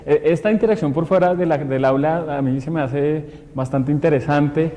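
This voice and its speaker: A man's voice: fairly high pitch, moderate pace, mellow, big-room sound. A man answering an interview in a casual, hesitant way, often repeating words.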